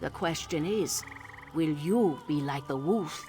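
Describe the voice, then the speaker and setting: A woman playing a wise, solemn and commanding Nord shamaness in a video game, speaking in a measured, incantatory way. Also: deep voice. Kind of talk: video game character voice acting. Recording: game music behind.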